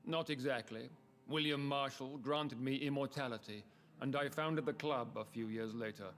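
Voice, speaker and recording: An actor playing an ancient aristocratic British vampire lord in a video game, speaking in a formal, measured, grandiloquent manner. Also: male voice, mid-range voice, a bit bright, a little hiss or hum, natural voice. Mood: courteous, imperious. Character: ancient aristocratic male vampire lord, founder of a secret club. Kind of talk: scripted video game dialogue.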